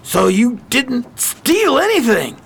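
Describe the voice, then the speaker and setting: An actor playing a tough, blustering crooked detective in a 1920s crime radio drama, with gruff, slangy talk. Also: male voice, extremely high pitch, big pitch swings, slow pace, fairly bright tone, heavily acted. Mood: surprised, angry.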